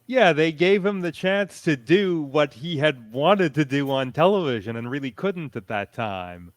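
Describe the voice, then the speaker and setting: A man speaking as a panelist, explaining things in a measured, thoughtful, casual way. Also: on the high side, animated, no echo, clean studio sound. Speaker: convention panelist.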